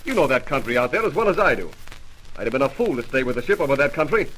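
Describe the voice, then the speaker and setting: A man's voice: medium pitch, big pitch swings, rapid-fire, fairly bright tone. A man playing a plain-spoken, defensive airmail pilot in 1930s-style radio drama acting, with clipped, slangy delivery. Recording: noisy old radio recording.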